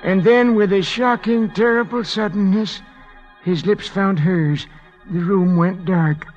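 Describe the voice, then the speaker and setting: An actor in 1940s radio style playing a breathless man reading a steamy romance novel aloud, savoring it slowly. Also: high-pitched, steady tone. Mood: rapt, savoring. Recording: muffled old radio recording, faint music behind.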